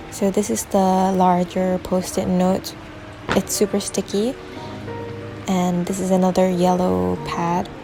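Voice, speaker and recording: A woman's voice: lowish voice, gravelly. Young woman talking thru her desk stuff, easygoing and chatty, music playing under her. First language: English. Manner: chatty, relaxed, explains things step by step. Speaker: vlogger. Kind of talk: casual vlog narration. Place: small room at home, at a desk. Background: background music.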